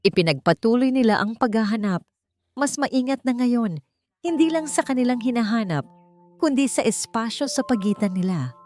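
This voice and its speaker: A woman's voice: on the high side, lively intonation, moderate pace, darkish tone, faint music behind. A woman with a Filipino accent reading a scripted, dramatic story as its narrator.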